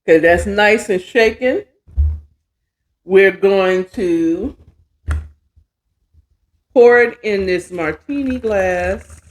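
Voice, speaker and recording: A woman's voice: medium pitch, extremely slow, long pauses. A middle-aged American woman hosting a show, chatting casually to viewers in a folksy way, often half talking to herself. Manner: folksy, talks to herself while working. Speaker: host of a storytelling show.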